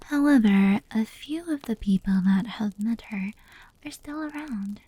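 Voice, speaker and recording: A woman playing a gentle fox-demon yokai in an ASMR roleplay, telling stories slowly and intimately in a soft, breathy whisper. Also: on the high side, dry recording, rough recording. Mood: hushed storytelling. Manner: slow, intimate, unhurried.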